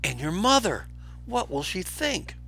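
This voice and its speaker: Storybook lioness, played by a male reader: emphatic, pleading, loyal, raised pitch with big swings. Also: big pitch swings, a little slow, creaky, pushed and bright, faint music behind, heavily acted. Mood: excited, insistent. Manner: insistent, emphatic. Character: urgent, pleading full-grown lioness, devoted old friend.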